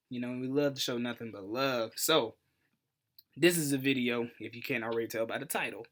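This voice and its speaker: A man in his twenties with an American accent, hosting a casual storytelling vlog in an animated, playful, slangy way. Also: mid-range voice. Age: 20s. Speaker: YouTube host.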